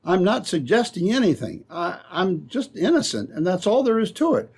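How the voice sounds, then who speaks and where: A man playing a guarded, troubled, wrongly jailed young man with a husky voice in an old-time radio drama. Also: sits high, big pitch swings, moderate pace, darkish tone, slight background noise.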